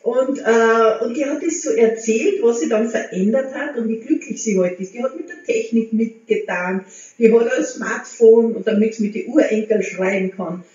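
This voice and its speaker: A woman's voice: a little high, moderate pace. A woman, chatting to camera at home as a motivational vlogger and gesturing as she talks. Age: 60s. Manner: chatty, gestures with hands, warm encouraging tone. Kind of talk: casual talk to camera. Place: living room at home. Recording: very echoey room, slightly dull sound.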